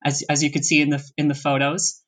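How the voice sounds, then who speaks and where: A young adult from the American East Coast, with a voice sitting right in the middle of the pitch range. They talk in a loose, meandering way during a relaxed interview. They restart sentences often and laugh easily as they go. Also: fast talker. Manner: thoughtful, rambling, with frequent laughs and restarts. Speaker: interview guest. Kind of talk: casual interview conversation.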